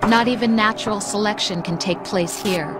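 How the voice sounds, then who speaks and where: An actress playing a calm, coldly persuasive female machine intelligence in a video game, speaking softly with a mocking edge. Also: mid-range voice, moderate pace, close to an everyday voice. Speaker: game character. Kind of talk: video game cutscene voice acting. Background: dramatic music score.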